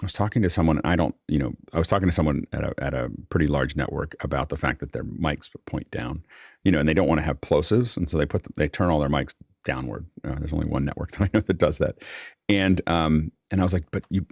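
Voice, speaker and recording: Really low creaky male voice, chatty host who rambles through stories, close dry sound. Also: fast talker, darkish tone, no room sound. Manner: rambling, anecdotal explanations. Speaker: host and moderator. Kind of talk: casual panel discussion.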